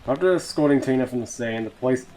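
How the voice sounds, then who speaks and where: A man's voice: medium pitch, fast, a little mellow, slight background noise, poor audio. A man doing a casual video reaction, reading captions aloud and muttering sweary asides. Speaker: YouTube reaction host.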